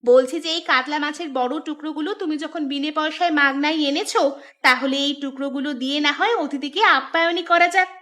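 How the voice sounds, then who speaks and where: A sharp-tongued cartoon village wife, acted by a woman, with a high, bright, cutting voice. She schemes and scolds in an exasperated, emphatic way. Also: fast. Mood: scheming, impatient. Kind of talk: Bengali cartoon voice acting.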